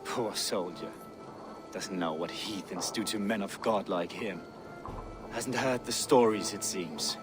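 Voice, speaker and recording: A man's voice: sits low, measured pace, music in the background. A man voicing a menacing, sardonic Viking warrior in a video game. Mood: mock pity. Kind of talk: game voice acting.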